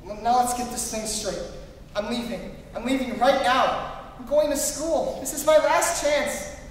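A man's voice: extremely high pitch, unhurried. An earnest young idealist, acted by a man in a live radio play, speaking with passion. The sound is distant, reverberant and slightly noisy. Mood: insistent. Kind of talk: staged old-time radio play acting. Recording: echoey hall from far off, noisy.

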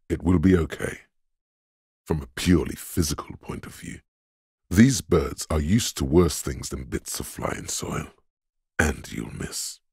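An actor playing the cool, dryly reasoning inner voice of a detective's logic in a video game, measured and deliberate. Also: male voice, dry recording, studio quality, full character voice. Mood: calm, questioning. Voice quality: very deep, gravelly, husky. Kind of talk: scripted video game voice-over, inner-voice narration.

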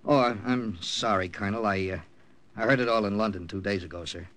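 An actor with an American accent playing a seasoned secret agent captain who narrates a 1950s radio drama. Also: male voice, lowish voice, brisk, fairly bright tone, lightly acted. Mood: guarded. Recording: old radio broadcast, music underneath.

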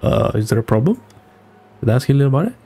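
A young man reacts live to a video game in a husky, creaky voice with a dark tone. He rambles and mutters with playful exasperation over faint music. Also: medium pitch, sing-song, fast talker. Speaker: game streamer. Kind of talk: casual live gameplay reaction.

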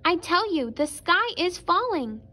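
A woman voicing an alarmed, excitable little storybook hen with urgent, insistent exclamations. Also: very high-pitched, unhurried, voice pushed up, exaggerated voice.

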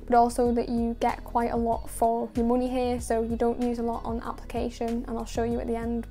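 Young woman, detailing host voice-over, very flat delivery, mellow tone, faint music underneath. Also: a little high. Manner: calm, measured, with many "sort of" and "kind of" fillers. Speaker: car detailing channel host. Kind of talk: voice-over narration of a car wash.

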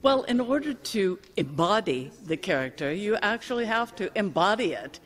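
A middle-aged woman speaks with measured, theatrical diction at a medium pitch. She is in a large hall with long reverb. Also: big pitch swings, slight background noise. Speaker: community theater actress. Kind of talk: cast interview. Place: empty theater auditorium.

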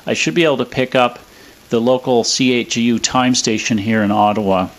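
A man explaining a demonstration in a measured, matter-of-fact way, with a radio playing. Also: medium pitch, fairly even intonation. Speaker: presenter demonstrating a vintage radio. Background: radio playing music and stations.

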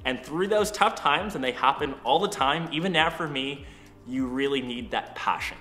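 A man's voice: medium pitch, normal speed, sharp tone, faint music behind. A young man hosting a casual vlog, giving a chatty, upbeat tour and answering viewer questions. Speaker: YouTuber. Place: empty condo studio, large bare room. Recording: echoey empty room.

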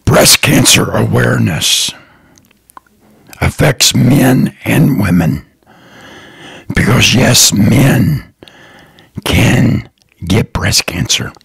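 A man hosting his own podcast, talking slowly and earnestly in a raspy, partly whispered voice. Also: mid-range voice, lively intonation, a bit bright, no room sound. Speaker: podcast host, lawn care business owner. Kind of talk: slow, earnest solo podcast talk.

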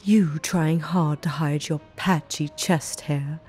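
A woman's voice: low-pitched, steady tone, slow, a little mellow, faint music behind. A woman playing a playfully menacing, eccentric mob underling in an audio roleplay, speaking coyly in theatrical, old-fashioned phrases. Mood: mocking, playful. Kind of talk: ASMR audio roleplay acting.

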